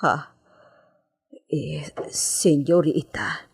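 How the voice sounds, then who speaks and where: A woman's voice: deep voice, very slow, creaky, slightly rough, heavily acted. A woman playing an anxious, deferential maidservant in a radio drama, with an Ilocano accent and a hesitant, pleading way of talking. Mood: meek, hesitant.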